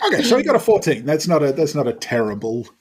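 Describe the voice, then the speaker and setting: A man's voice: a little high, big pitch swings, fast. A man chatting casually and jokingly as a player in a tabletop game, repeating himself for emphasis.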